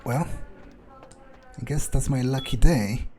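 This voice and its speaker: A man playing a wary vampire in a video game, thinking aloud in a halting, muttering way. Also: medium pitch, warm tone, lightly acted. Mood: musing, wary. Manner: halting, musing, muttering with hums. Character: wary male vampire muttering his thoughts while exploring. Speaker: gameplay streamer playing a character. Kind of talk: in-character roleplay commentary, thinking aloud.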